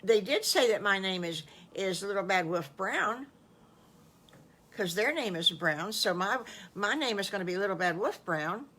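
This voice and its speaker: An older woman voicing a sweet, excitable young boy wolf in a children's story, eager and childlike, repeating himself. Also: medium pitch, unhurried. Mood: happy.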